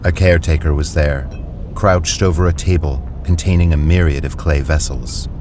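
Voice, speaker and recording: Deep-voiced male narrator. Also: moderate pace, music in the background. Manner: even, descriptive storytelling. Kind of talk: scripted narration.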